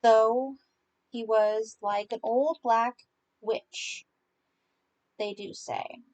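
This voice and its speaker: Female storyteller, slightly high voice, unhurried and halting, reads folk tales with casual asides. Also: steady tone, a little mellow. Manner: halting, stumbling over unfamiliar dialect words. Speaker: podcast host and story reader. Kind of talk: reading folk tales aloud with informal asides.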